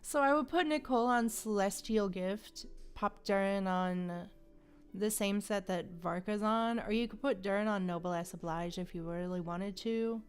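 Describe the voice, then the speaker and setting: A woman's voice: mid-range voice, normal speed, clear, resonant tone. A woman hosting a gaming livestream, chatting casually and informally with her viewers, sometimes swearing. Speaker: livestream host playing a video game. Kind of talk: casual gaming stream chat. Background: game music.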